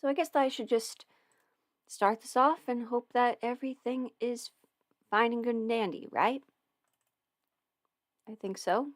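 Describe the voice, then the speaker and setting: A woman's voice: on the high side, measured pace, long pauses, no echo, clean studio sound. A woman casually rambling as a livestream host, hesitant, interrupting herself and thinking aloud as she goes. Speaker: livestream host, audio roleplay creator.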